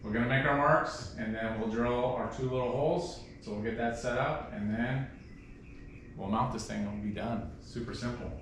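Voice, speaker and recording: Male DIY host, casual and informal, mid-pitched, very roomy distant sound. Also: normal speed, fairly bright tone. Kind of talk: casual hands-on project walkthrough. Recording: echoey, distant mic, faint background noise.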